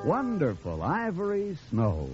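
Very animated male radio pitchman. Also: measured pace, over loud music. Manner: reassuring, polished sales delivery. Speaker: commercial announcer. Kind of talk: 1940s radio advertisement read. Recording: dull vintage radio recording.

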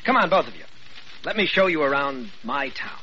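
Modest small-town doctor, friendly, swooping bright delivery, vintage radio drama. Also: male voice, medium pitch, very animated, normal speed. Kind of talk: 1940s-50s American radio drama acting. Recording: muffled, faintly noisy old radio recording.

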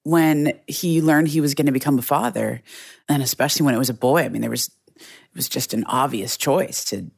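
A woman's voice: extremely low pitch, fairly quick, gravelly, a little mellow, husky. A middle-aged woman journalist telling a story in an earnest, reflective way, often restarting her phrases. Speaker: journalist guest. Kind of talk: conversational storytelling interview.

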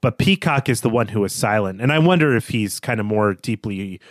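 A man's voice: on the low side, animated, fairly quick, no echo. Analytical man co-hosting a book podcast, dry sound. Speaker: podcast co-host. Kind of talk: conversational book discussion.

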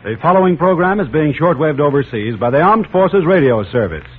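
A man's voice: fairly high pitch, lively intonation, fairly quick, slight background noise. A man with an American accent announcing a program in 1940s radio style. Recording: old narrowband shortwave-era radio recording.

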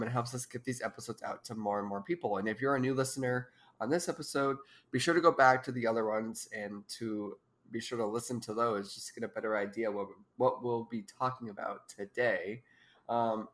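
A young woman in her twenties, a podcast co-host, talking casually and giggling often while stuffed up with allergies. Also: extremely low pitch. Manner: giggly, rambling. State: congested. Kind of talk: casual chat.

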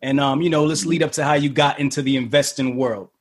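A man hosting an interview podcast, talking in a relaxed, casual way with lots of fillers and restarts. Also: medium pitch, quick pace. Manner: laid-back, many fillers and restarts. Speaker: podcast host.

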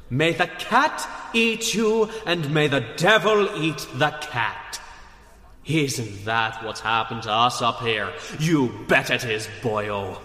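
A man's voice: mid-range voice, sharp tone. A man playing a rousing, theatrical Irish working-class rebel orator in a radio drama. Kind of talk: radio drama political speech. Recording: echoey hall with long reverb.

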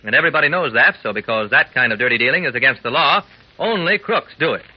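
A man's voice: mid-range voice, animated, fast, husky. A male announcer giving an earnest, scripted old-time radio talk to young listeners in folksy slang. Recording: muffled old radio recording.

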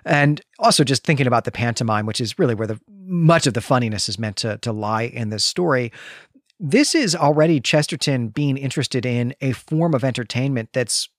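A man's voice: medium pitch, expressive, fairly quick, no echo, clean studio sound. A man hosting a literary discussion podcast, talking in an articulate, discursive and emphatic conversational way. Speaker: podcast host.

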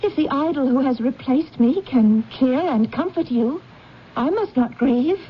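An actress playing a sorrowful, dignified young woman in a 1970s radio drama, speaking in an earnest, measured, formal way. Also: sits high, animated. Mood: sad reproach. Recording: dull radio broadcast with faint noise.

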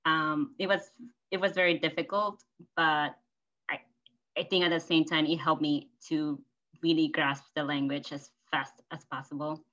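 Low, bright-voiced Peruvian woman, dull recording. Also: fairly even intonation, moderate pace. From Peru. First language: Spanish. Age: 30s. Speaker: podcast guest. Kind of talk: casual personal storytelling. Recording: slightly dull, lo-fi audio.

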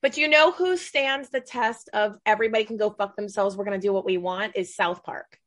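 A woman's voice: mid-range voice, brisk, fairly bright tone, no echo, studio quality. A woman in her thirties chatting casually on a podcast, enthusiastic and talkative. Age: 30s.

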